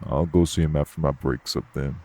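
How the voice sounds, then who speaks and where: A man's voice: a bass voice, fairly even intonation, a little fast, dark tone. A man playing a curt, guarded club worker on his break, terse and stiffly polite, in an audio roleplay. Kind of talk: audio roleplay acting addressed to the listener. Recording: clear background noise.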